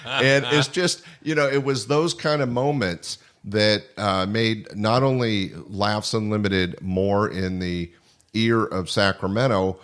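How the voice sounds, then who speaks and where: Podcast host: older American male, lowish pitch, casual conversational reminiscing. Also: fairly even intonation, slow pace, a bit bright, clear, resonant tone.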